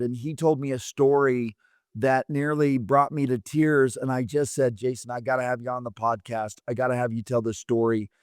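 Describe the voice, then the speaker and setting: A man hosts a podcast in a loose, chatty manner, his voice a little worn out. His tone stays smooth and resonant, and the recording is dry and close. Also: mid-range voice, dry recording. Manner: relaxed, rambling with fillers. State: losing his voice. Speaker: podcast host. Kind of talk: conversational interview.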